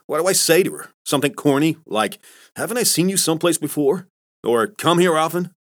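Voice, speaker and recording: Sly smooth sales boss, middle-aged ; man reads him, slightly raised voice. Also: on the high side, animated, a little fast, dry recording, studio quality, pitched above the performer's own voice, natural voice. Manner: smooth, self-mocking.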